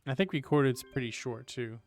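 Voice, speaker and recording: A man with a medium-pitched voice chats casually as a game streamer. His remarks are offhand asides, spoken over clearly audible video game music. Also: fairly quick, darkish tone. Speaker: live streamer.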